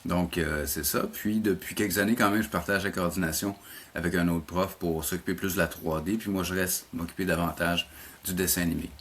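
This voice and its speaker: A middle-aged man with a Quebec French accent, an interview guest explaining things in a measured way and rephrasing as he goes. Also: low-pitched, steady tone, a bit bright, slight background noise. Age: middle-aged or older. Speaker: interview guest, animation teacher.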